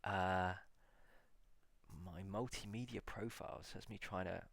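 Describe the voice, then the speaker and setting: A man in a casual solo video, musing aloud as he reads out old titles slowly, with many pauses and fillers. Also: deep voice, fairly even intonation, creaky, fairly bright tone, no echo. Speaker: video blogger.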